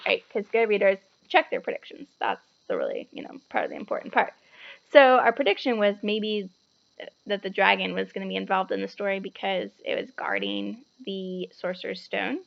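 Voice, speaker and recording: A woman reading a story aloud to children in an animated, explanatory way, with chatty asides. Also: medium pitch, vocal fry, dull audio.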